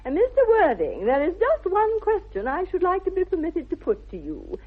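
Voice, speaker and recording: A woman's voice: very high-pitched, wildly swooping pitch, moderate pace, heavily acted. An actress playing a haughty young upper-class English society lady in a 1960s radio comedy, grand, precise and condescending. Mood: formal, pointed. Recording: thin, phone-like old radio recording.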